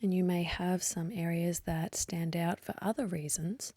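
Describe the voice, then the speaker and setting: A woman leading a guided meditation in a soft, breathy voice, gently and unhurriedly, with long pauses. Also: lowish voice, steady tone, no echo. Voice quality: soft, breathy, partly whispery. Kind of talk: guided meditation, slow calm instruction.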